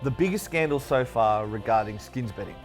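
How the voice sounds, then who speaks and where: A man's voice: medium pitch, lively intonation, fairly quick, soft background music. An Australian man co-hosting a video game show, discussing gaming news in a conversational way.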